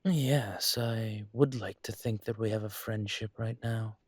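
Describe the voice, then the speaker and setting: A man playing a suave, courteous dragon mafia boss in an intimate roleplay audio, with a husky, breathy voice and a gentle, teasing formality. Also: fairly low pitch, a little slow, no echo, clean studio sound. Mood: teasing, fond.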